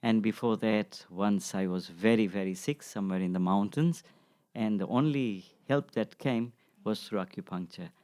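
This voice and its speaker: Man, 60s, low register. Interview guest speaking as a teacher, reflective and measured. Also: a little mellow. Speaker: guest, acupuncture practitioner and teacher. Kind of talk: unscripted interview answers.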